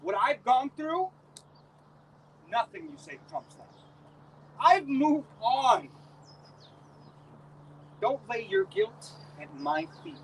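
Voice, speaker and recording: A guarded young man in uniform who pushes back at every question, played by an actor on stage. His high voice rises and falls in lively swings. He is heard from afar over faint outdoor noise. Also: slow, pauses often, far from the mic, full character voice, rough recording. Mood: tense. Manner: defensive, tense stage dialogue. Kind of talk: live stage scene.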